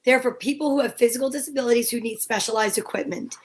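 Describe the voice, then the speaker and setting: Female co-host, high voice, casual banter, halting and mock-indignant delivery.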